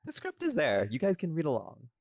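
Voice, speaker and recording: A man's voice: a little high, huge pitch swings, a little fast, creaky, darkish tone, no echo, clean studio sound. A man chatting casually as a podcast host, rambling and opinionated, with some casual swearing. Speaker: podcast host and audio drama writer.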